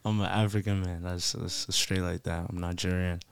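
A man's voice: low voice, level tone, normal speed, gravelly, smooth. A man from the United States chatting casually in slang as an interviewed musician, emphatic and self-assured, often repeating himself for weight.

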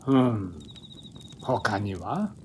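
A man acts out a blunt old man in a radio play. He gives fatherly counsel in old-fashioned phrasing, slowly and with a widely swinging pitch. Faint forest ambience sits behind him. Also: animated, darkish tone, slight background noise. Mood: gently blunt. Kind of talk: audio drama acting.

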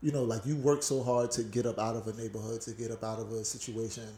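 A man's voice: mid-range voice, flat delivery, quick pace, dark tone, reverberant. A man from Brooklyn, co-hosting a podcast and telling personal stories in an earnest, reflective way. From Brooklyn, New York. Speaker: podcast co-host. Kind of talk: casual podcast chat.

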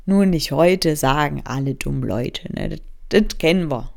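A young German woman in her twenties talks through a casual solo podcast episode. Her voice sits low and carries a gravelly fry. She rambles from thought to thought, teases herself, and sprinkles English phrases into her talk. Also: normal speed. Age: 20s. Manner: rambling, self-mocking, drifts off topic. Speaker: podcast host.

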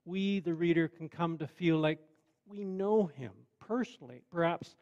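A man preaching a sermon in a measured, conversational way with wry asides. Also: fairly high pitch, a little mellow, highs cut off. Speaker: pastor.

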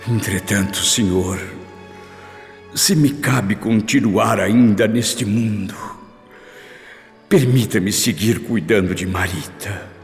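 Slow, gravelly, anguished Brazilian father. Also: on the low side. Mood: pleading, remorseful. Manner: slow, solemn, pleading delivery. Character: anguished repentant father, guilt-ridden former brute. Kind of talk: radio drama monologue, prayerful soliloquy. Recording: long reverb with music behind. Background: music.

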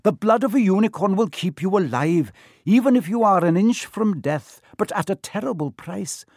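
A man's voice: high voice, lively intonation, normal speed, a little mellow, no echo, clean studio sound, voice pushed up. A man voicing a young male centaur from a storybook, speaking formally and earnestly, noble and defiant. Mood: solemn. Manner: formal, earnest, emphatic speech. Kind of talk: storybook character voice.

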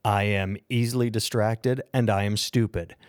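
A man's voice: on the low side, fairly even intonation, normal speed, no echo, clean studio sound. An American man co-hosting a podcast, telling stories in a rambling, enthusiastic way. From United States. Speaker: podcast co-host. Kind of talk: casual podcast chat.

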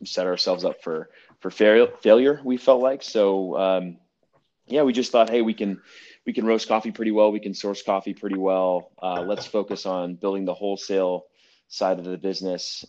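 A man's voice: sits low, a little mellow, muffled recording. A man answering interview questions in a friendly, plain way, with ums and restarts. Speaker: coffee roaster, guest.

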